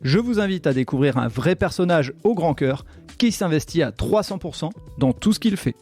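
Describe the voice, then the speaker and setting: A man's voice: fairly high pitch, animated, normal speed, a little mellow, soft background music. A man hosting a podcast interview, introducing his guest in a friendly way with frequent fillers. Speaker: podcast host.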